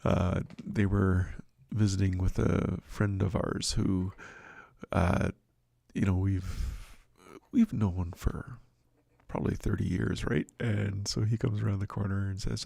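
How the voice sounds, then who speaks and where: A man's voice: fairly low pitch, takes it slowly, vocal fry, warm tone, no room sound. A middle-aged man hosting a devotional livestream and podcast, talking in a casual, rambling, self-deprecating way with lots of restarts.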